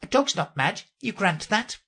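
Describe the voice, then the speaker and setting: A woman's voice: mid-range voice, very animated, moderate pace, a bit bright, slightly rough, pitched above the performer's own voice, heavily acted. A woman voicing a sly, breezy, grinning mad cat from a children's storybook, teasing and emphatic in manner. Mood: smugly teasing. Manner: teasing, emphatic, playfully argumentative.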